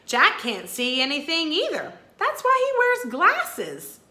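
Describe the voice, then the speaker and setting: An eager little girl from a storybook, acted out by a woman, perky and plain-spoken. The voice is high and bright, with big swoops in pitch. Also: wildly swooping pitch, takes it slowly, roomy sound, full character voice. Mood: matter-of-fact.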